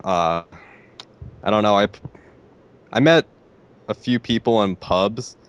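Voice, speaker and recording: Teen boy, deep voice, very low pitch, hesitant casual answers, lots of fillers. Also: slow, lo-fi sound. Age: teens. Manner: hesitant, many fillers like "like" and "I don't know". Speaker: interviewee, gamer.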